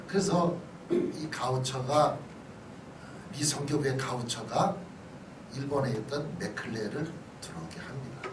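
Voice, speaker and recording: A man's voice: mid-range voice, unhurried, long pauses. A male pastor giving a conversational history lecture, chatty and often checking in with listeners through questions and asides. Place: church hall lecture. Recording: echoey hall, distant mic, noisy background.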